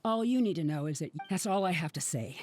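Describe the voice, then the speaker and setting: A woman's voice: on the low side, lively intonation, fairly quick, a little mellow, a little hiss or hum, lightly acted. A woman playing a proud, impatient young loner researcher in an anime game, brusque and scornful. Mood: fed up.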